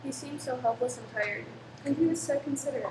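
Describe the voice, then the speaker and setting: A woman's voice: high voice, brisk. A woman giving dramatic scripted narration for a radio play story. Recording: echoey, distant mic, clear noise.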